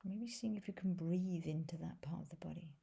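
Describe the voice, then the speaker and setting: Woman, meditation teacher, husky and breathy, creaky edges, soft gentle delivery, long pauses. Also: lowish voice. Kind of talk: guided body scan meditation.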